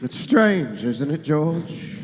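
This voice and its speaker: A man in a live radio play voicing a gentle, childlike, earnest guardian angel with a deliberate, plaintive delivery. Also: a little high, big pitch swings, background noise. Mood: gentle, solemn. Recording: live hall, long reverb.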